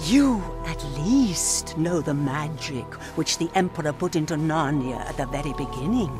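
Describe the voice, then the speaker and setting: A woman's voice: sits low, animated, moderate pace, music in the background. An actress playing a cold, menacing, haughty witch queen in a theatrical radio drama. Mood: scornful, menacing.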